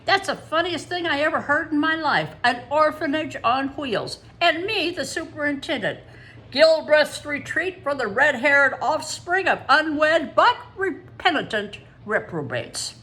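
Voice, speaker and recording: A woman voicing a jovial, blustering father who loves playing pranks, loud and mock-pompous. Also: sits high, animated, measured pace, pitched above the performer's own voice, full character voice, poor audio. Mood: laughing.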